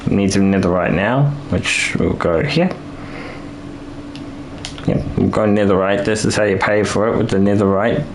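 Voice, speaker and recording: A man's voice: on the low side, normal speed, long pauses, a little hiss or hum. A man live-streaming a building game, rambling and thinking aloud with lots of restarts while he is losing his voice.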